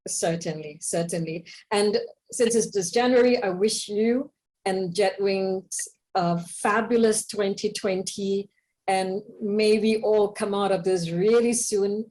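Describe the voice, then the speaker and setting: A woman's voice: medium pitch, takes it slowly, a little mellow, low-quality recording. A woman hosting a conversational interview podcast, speaking in an effusive, appreciative way to her guest. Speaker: podcast host.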